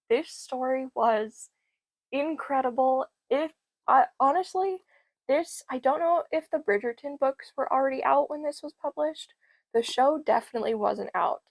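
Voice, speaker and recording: A young woman, a bit hoarse from losing her voice, chatting enthusiastically about library books. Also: fairly high pitch, no echo. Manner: enthusiastic, gushing. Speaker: book vlogger. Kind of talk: casual book haul chat.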